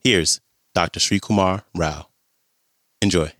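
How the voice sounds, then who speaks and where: A hoarse man hosting a podcast, chatty and relaxed as he introduces the episode. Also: deep voice, slow pace, dry recording, studio quality. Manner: relaxed, chatty, friendly greetings. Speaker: podcast host.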